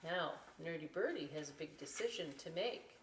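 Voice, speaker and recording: A woman reading a picture book aloud as a story time host, expressive, with chatty questioning asides to young listeners. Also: low voice, moderate pace, distant mic, a little hiss or hum. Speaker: library story time reader. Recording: echoey room, away from the mic.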